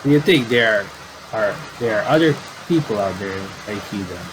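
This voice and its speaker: A man doing casual game commentary and reading aloud, in a halting, rambling way with repeated words. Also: lowish voice, expressive, background noise.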